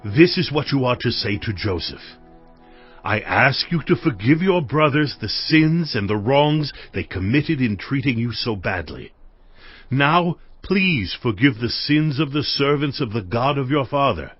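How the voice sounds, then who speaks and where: Scripture drama: anxious, penitent male brother, raspy mid-range voice, highly animated begging. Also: a little slow. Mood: pleading. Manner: pleading, earnest. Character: anxious, guilty Hebrew brother begging forgiveness. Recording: slightly dull audio with music behind.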